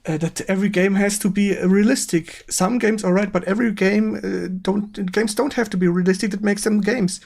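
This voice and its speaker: A man with a German accent hosting a casual game-stream interview in halting, repetitive English as he searches for words. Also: sits high, steady tone, creaky, darkish tone. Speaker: stream host.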